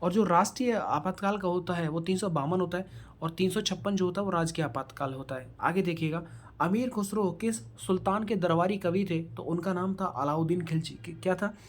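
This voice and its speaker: A man's voice: high-pitched, brisk. A man teaching an online exam-prep quiz lesson, posing questions, then answering and repeating them as he coaches viewers directly. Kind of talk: quiz-style lecture for online students.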